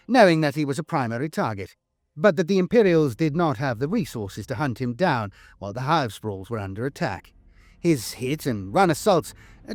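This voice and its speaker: A man's voice: mid-range voice, no echo. A man delivering dramatic scripted war-lore narration in a grave, theatrical, emphatic manner.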